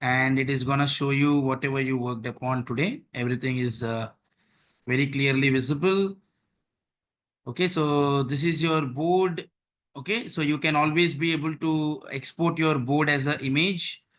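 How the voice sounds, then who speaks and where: A man's voice: mid-range voice, steady tone, normal speed, fairly bright tone. A man leading an online training session, walking listeners through on-screen steps and often checking in with "okay" and "right".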